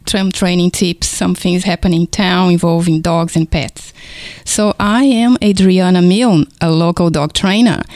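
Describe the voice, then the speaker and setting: A woman from Brazil with a Brazilian Portuguese accent, hosting a community radio show in a friendly, unhurried way. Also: fairly low pitch, darkish tone, dry recording. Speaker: radio host and dog trainer. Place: radio studio.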